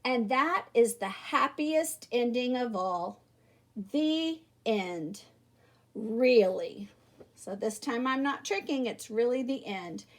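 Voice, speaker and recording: A woman's voice: on the high side, expressive, slow pace. A woman reading a children's book aloud expressively and emphatically, speaking directly to young listeners. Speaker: storybook reader.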